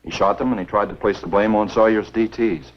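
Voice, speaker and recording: An actor playing a stubborn, skeptical American game warden in a 1950s horror film, firm and matter-of-fact. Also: male voice, on the low side, fairly even intonation, a little fast, lightly acted. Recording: dull, lo-fi 1950s film soundtrack.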